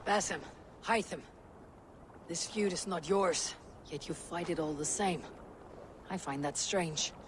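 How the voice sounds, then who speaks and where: A woman's voice: fairly low pitch, unhurried, long pauses, background noise, lightly acted. A woman playing a proud, vengeful young Viking warrior in a video game, speaking in solemn, resolute, formal phrases. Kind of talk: dramatic video game acting. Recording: music behind the voice.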